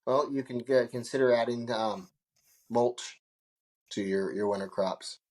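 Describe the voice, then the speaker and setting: A man's voice: medium pitch, unhurried, a little mellow, rough recording. A man who is a guest on a gardening podcast, chatting and teasing over a video call. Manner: joking, teasing asides. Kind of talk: casual chat on a video call. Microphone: video call mic.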